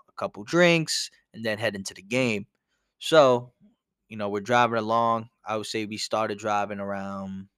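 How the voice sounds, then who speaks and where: A man's voice: lowish voice, smooth, no echo, studio quality. A young man hosting a casual solo podcast, telling a story in a rambling, conversational way with lots of "you know". He sounds a bit congested from a cold. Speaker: podcast host.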